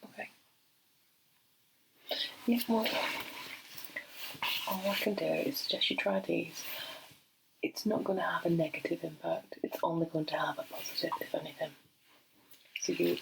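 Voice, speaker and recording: A middle-aged woman speaking softly in an ASMR doctor role play, breathy and often slipping into a whisper, gentle and unhurried, close to the microphone. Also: fairly low pitch, fairly even intonation, noisy background. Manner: gentle, unhurried, hesitant pauses. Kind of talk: soft-spoken ASMR medical role play.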